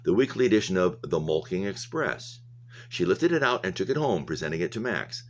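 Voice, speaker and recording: A man with an extremely low voice narrates a novel aloud as a teacher would. He reads the prose and adds plain explanatory comments for his listeners. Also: sing-song, a little fast, dull audio, slight background noise.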